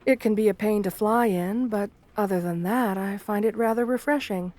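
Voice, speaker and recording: A woman voicing a shy, lonely, romantic gargoyle girl in a gentle ASMR roleplay, speaking tenderly with formal, bookish wording. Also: mid-range voice, moderate pace, lightly acted. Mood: reassuring, playful. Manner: tender, soft-spoken, formal old-fashioned wording.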